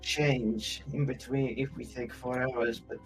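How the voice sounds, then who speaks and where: A man's voice: medium pitch, fairly even intonation, slow, faint music behind, rough recording. A man playing in a tabletop roleplay game, talking casually in and out of character.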